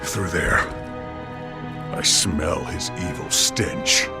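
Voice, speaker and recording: A hulking alien warrior for an animated game, very deep and slow. He is grave, formal and portentous, with very loud music behind him. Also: full character voice. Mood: grim. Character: solemn, hulking male alien warrior, grimly literal.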